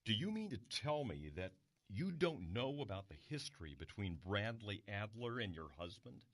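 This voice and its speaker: A man's voice: on the low side, sing-song, normal speed, fairly bright tone, no echo, clean studio sound. A man playing a formal, insistent police detective in an audio drama, procedural and pointed. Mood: pointed, grave. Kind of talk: modern audio drama acting.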